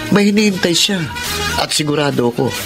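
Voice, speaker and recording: An actor playing a confident, pushy young best buddy in a Filipino radio drama, emphatic and coaxing. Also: male voice, on the high side, animated, fairly quick, gravelly, over music. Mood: urging, confident.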